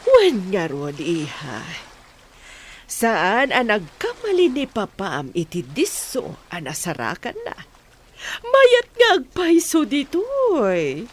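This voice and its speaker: A woman acting as a tender mother in an audio drama. She speaks slowly with a medium-pitched, very animated lilt, over faint background noise. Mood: contented, tender. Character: tender, contented mother talking to her daughter.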